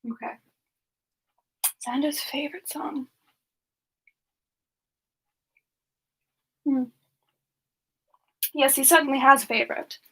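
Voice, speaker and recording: A woman voicing a gentle frost siren in a semi-ASMR character Q&A, speaking softly and haltingly, with whispery dips and playful candor. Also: sits high, steady tone, exaggerated voice, rough recording. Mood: playful, conspiratorial. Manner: soft, hesitant, halting delivery with whispery dips. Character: gentle female frost-covered siren, modest and playfully candid.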